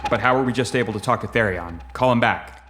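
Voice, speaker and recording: A man playing an anxious, weary spaceship captain in an audio drama, halting and earnest in a naturalistic way. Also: mid-range voice, over music, close to an everyday voice. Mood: pleading.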